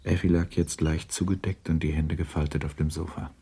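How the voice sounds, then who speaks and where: A man narrating a classic novel for a radio drama as a sober, measured and matter-of-fact storyteller. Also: extremely low pitch, fairly even intonation, mellow, husky, full character voice.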